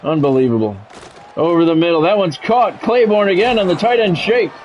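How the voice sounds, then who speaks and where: A man's voice: high voice, animated, fairly quick, darkish tone. A middle-aged man doing sports video game play-by-play with blunt, sweary, exasperated reactions. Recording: dull lo-fi audio, faint background noise.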